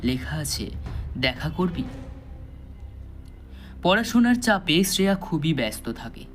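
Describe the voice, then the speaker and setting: A man narrating a scripted thriller story with measured, dramatic phrasing and pauses. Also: a little high, very animated, a bit bright. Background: background music.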